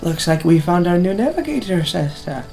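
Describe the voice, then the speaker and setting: A woman's voice: on the low side, very animated, moderate pace, vocal fry, dark tone, soft background music. A woman playing a brash, teasing, impatient spellcaster in a fantasy audio drama. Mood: teasing, bossy.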